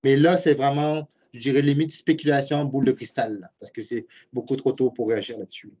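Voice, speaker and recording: A man's voice: mid-range voice, steady tone, fairly quick, a little mellow, breathy edge. A man in his late forties with a Québécois accent, a program director earnestly explaining his views while answering webinar questions. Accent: Québécois French. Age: late 40s. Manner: earnest, explanatory, thinks aloud. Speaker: university program director and professor. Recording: muffled lo-fi web call audio.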